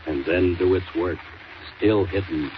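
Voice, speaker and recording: An actor as a dramatic 1940s radio serial narrator, grave and suspenseful, on a noisy old recording. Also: male voice, deep voice, unhurried, dull audio. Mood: ominous. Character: ominous male serial narrator.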